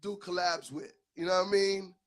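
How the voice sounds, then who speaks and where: A man's voice: sits high, fairly even intonation, moderate pace, fairly bright tone. A man hosting a casual interview, easygoing, laughing along and giving short replies. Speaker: host.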